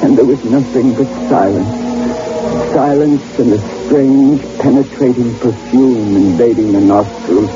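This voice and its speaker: A man's voice: mid-range voice, measured pace, music in the background, natural voice. An actor playing a frightened, insistent man who narrates his wilderness ordeal in old-time radio horror style, dramatic and urgent. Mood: haunted, grave. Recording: noisy, dull old radio recording.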